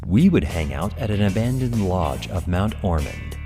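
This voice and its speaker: A man playing a brooding, manipulative young gang leader, narrating his dark story in a cold, deliberate, dramatic way. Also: sits low, animated. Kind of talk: scripted dramatic first-person narration. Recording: long reverb, loud music bed.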